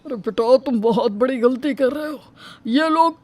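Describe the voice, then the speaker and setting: A woman's voice: high-pitched, moderate pace, darkish tone. A woman playing an indignant, suspicious parent of a groom, exclaiming and scolding in a story drama. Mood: protesting.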